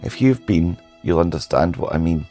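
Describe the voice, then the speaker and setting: A man with a sore, hoarse throat narrating a history documentary in a solemn, measured way. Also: sits low, animated, mellow, faint music behind. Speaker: history channel host.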